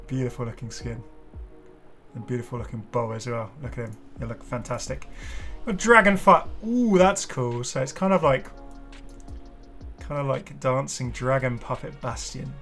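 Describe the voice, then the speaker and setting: A man hosting a casual game showcase, talking in an enthusiastic, rambling way with halting explanations. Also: mid-range voice, lively intonation, fairly bright tone. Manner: enthusiastic, effusive. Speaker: gaming video creator and host. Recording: music playing behind the voice. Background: clear background music.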